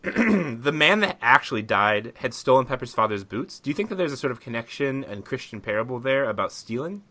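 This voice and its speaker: A man with a medium-pitched voice that swings widely as he talks. He banters casually as a podcast co-host, joking in an incredulous, animated way. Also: a little fast. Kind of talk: casual comedic film chat.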